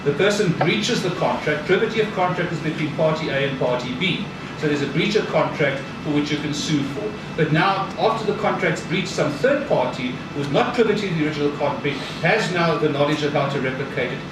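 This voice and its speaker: A man's voice: on the high side, lively intonation, fairly quick, few pauses. Methodical man questioning from the floor. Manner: methodical, argumentative. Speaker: audience member. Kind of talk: Q&A discussion. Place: seminar hall. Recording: echoey hall, distant mic, noise.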